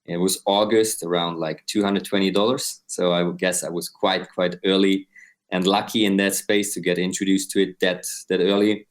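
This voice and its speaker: Deep-voiced Austrian man in his twenties. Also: little pitch movement, moderate pace. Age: 20s. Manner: explains step by step, often adds "right?". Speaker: guest, crypto company co-founder. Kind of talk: explanatory interview answers.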